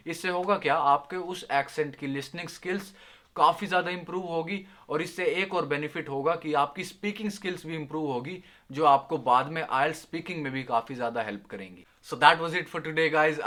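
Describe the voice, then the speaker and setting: Bright-voiced young man giving exam tips. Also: on the high side. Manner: friendly, addresses viewers as "yaar" and "doston", mixes English phrases. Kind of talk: casual spoken tutorial.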